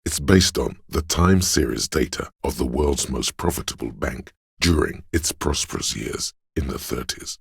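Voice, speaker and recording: A learned, pedantic man's inner voice narrating trivia in a video game. The pitch is extremely low, with heavy gravel in it. The delivery is measured and matter-of-fact, recorded very dry and close. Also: animated, no echo, heavily acted. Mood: scholarly, dry. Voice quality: very deep, gravelly, husky. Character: pedantic encyclopedic inner voice, a deep-voiced know-it-all man.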